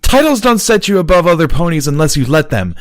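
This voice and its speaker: A man's voice: a little high, big pitch swings, quick pace, no echo, voice pushed up. A man voicing a brash, hearty, loyal young female pony in an audio story, blunt and emphatic. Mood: fierce, insistent.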